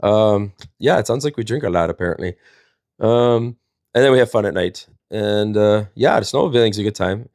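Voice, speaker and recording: Dude on a livestream, lowish voice, dry sound, rambles through goofy folksy stories. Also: male voice, moderate pace, a little mellow, dry recording. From Wisconsin, United States. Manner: rambling, folksy, jokey mock-innocent. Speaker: livestream host. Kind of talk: casual comedic storytelling.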